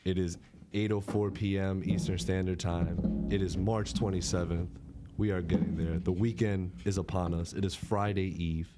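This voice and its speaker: A man co-hosting a live podcast in a relaxed, joking, slangy way. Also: deep voice, normal speed, loud music behind the voice. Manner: laid-back, joking, slangy. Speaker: podcast co-host. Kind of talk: casual live-stream podcast chat.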